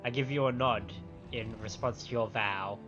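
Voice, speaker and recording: A man's voice: mid-range voice, fairly even intonation, normal speed, highs cut off. A man giving casual live commentary as a game streamer. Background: faint game music.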